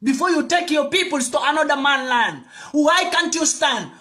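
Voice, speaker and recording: A man's voice: very high-pitched, expressive, moderate pace, bright, forceful tone. A young man with a West African accent giving an impassioned, emphatic and repetitive political monologue, exhorting his listeners. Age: young adult. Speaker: political activist addressing African youth.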